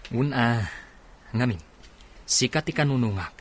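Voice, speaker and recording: Male actor as a guarded, loyal Filipino employee; mid-pitched radio drama delivery over noisy background. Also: expressive, a little slow, close to an everyday voice. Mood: explaining.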